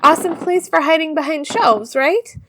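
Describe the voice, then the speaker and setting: A woman's voice: high voice, moderate pace, sharp tone, slight background noise. A woman playing a frantic, chatty young woman on the run in an audio drama, with a rambling, confiding manner. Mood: scared, confiding. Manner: rambling, informal, breathless asides to listeners. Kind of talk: first-person audio drama, confiding podcast monologue.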